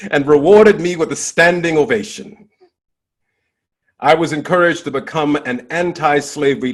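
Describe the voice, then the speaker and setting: A man performing a dramatic monologue as a dignified, impassioned nineteenth-century abolitionist orator, declaiming in swelling and hushed phrases with deliberate pauses. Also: mid-range voice, husky.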